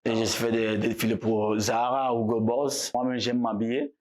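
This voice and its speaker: A man from Togo, a musician guest talking in a casual interview, relaxed and halting, often repeating words. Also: mid-range voice.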